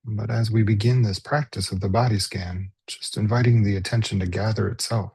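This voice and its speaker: Husky, breathy man guiding meditation. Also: on the low side, fairly even intonation, dry recording. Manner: gentle, unhurried, pausing between short phrases. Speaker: mindfulness meditation teacher. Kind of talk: guided body scan meditation.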